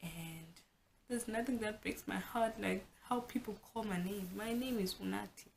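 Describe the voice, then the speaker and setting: A woman's voice: medium pitch, normal speed, vocal fry, a little hiss or hum. A young South African woman whose first language is Xhosa, talking frankly and chattily to the camera in a casual home vlog, often restarting her sentences. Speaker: vlogger.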